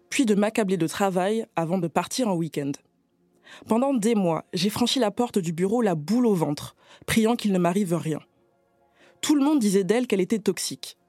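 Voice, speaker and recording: A woman journalist narrating a personal, scripted account in a calm storytelling manner. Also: mid-range voice, no echo.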